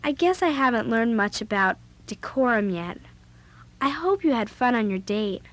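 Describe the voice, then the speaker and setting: A woman plays a spirited, outspoken girl babysitter in an audio drama. Her voice is high and swings freely up and down. She tells her own story with youthful, emphatic delivery. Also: expressive, normal speed, natural voice. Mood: earnest. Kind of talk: first-person audio drama narration and dialogue.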